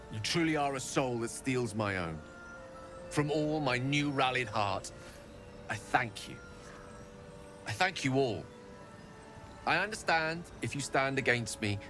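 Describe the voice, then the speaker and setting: An actor playing a learned, earnest wizard in a fantasy video game, speaking in florid, measured, dramatic phrases. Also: male voice, medium pitch, natural voice. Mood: relieved, grateful. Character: learned male wizard, eloquent, theatrical, earnestly remorseful.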